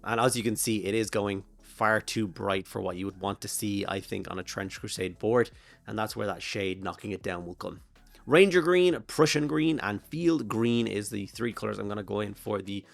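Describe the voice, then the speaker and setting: A hoarse Irish man with a flu-worn voice talks casually through a miniature painting tutorial, rambling and thinking aloud with plenty of fillers. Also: lowish voice, normal speed, no echo. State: hoarse from a flu and throat infection. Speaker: miniature painting channel host.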